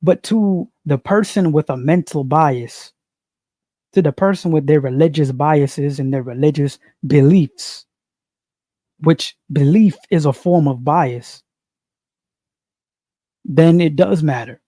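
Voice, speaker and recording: A man giving an unscripted spiritual monologue, slow and preachy, repeating phrases and asking "you see". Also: a little high, mellow, dry recording. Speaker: spiritual commentator.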